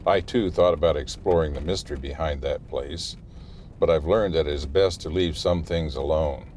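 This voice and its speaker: Guy acting a super deep solemn local guide, formal n careful, audio drama, noisy background. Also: moderate pace, darkish tone. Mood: grave, warning. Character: grave, cautious male small-town guide warning a reporter.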